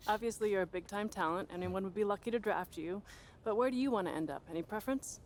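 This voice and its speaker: An actress playing a probing sports reporter in a game, direct and businesslike. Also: female voice, mid-range voice, fast talker, slight background noise, natural voice. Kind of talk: press questioning in game cutscene.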